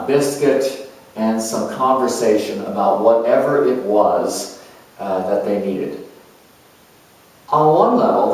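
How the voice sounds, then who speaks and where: An earnest, nurturing spiritual counselor played by a man delivers a reflective dramatic monologue in a very echoey space, some way from the microphone. The phrasing is slow and halting, with deliberate pauses between thoughts. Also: mid-range voice, a little mellow, natural voice. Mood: subdued, solemn, then serene, devout. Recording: very echoey room, distant microphone.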